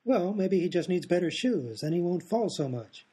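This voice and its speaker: Man acting daft male doctor, rambling, oblivious, rather high mellow voice, radio comedy. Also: sing-song, moderate pace, slightly rough, heavily acted. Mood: absent-minded, breezy. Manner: rambling, oblivious, matter-of-fact. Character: daft, absent-minded male hospital doctor, cheerfully off-topic. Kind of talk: absurdist radio comedy sketch.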